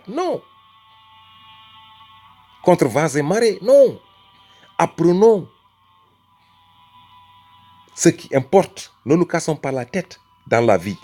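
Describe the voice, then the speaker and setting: Emphatic man with a lively rising voice. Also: mid-range voice. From Senegal. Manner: emphatic, rhetorical, repeats phrases and addresses the listener as brother. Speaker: religious speaker. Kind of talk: impassioned religious argument.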